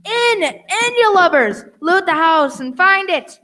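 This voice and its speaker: A woman playing a fierce old male pirate in a radio play, shouting commands and pleas in a declamatory way. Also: extremely high pitch, lively intonation, slow, full character voice. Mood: fierce, bullying. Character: old pirate Pew, male, bullying then pleading. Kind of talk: youth stage radio play acting. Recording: very noisy live play recording.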